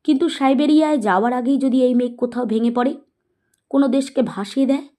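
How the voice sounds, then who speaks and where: A woman's voice: high voice, mellow, voice pushed up, lightly acted. Woman playing firm, principled young heroine. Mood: challenging. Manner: firm, earnest, measured. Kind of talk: Bengali audio story acting.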